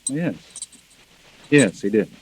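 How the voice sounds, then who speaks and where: A man's voice: fairly low pitch, expressive, slow pace, slight background noise. A man playing a controlling, impatient husband in a 1940s radio drama, brusque and insistent. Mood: smooth, evasive. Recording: vintage 1940s radio recording.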